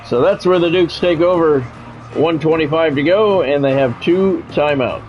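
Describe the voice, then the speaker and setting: Higher-pitched man commentating a football game. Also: moderate pace. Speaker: game streamer and play-by-play commentator. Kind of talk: sports play-by-play mixed with casual stream chat. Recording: dull lo-fi audio, music behind.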